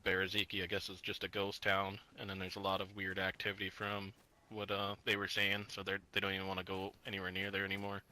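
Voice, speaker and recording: A man's voice: deep voice, completely flat delivery, fast talker, bright, forceful tone, slight background noise, low-quality recording. A man talking in character during a survival roleplay game, rambling and swearing as he trails off mid-thought. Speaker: roleplay player.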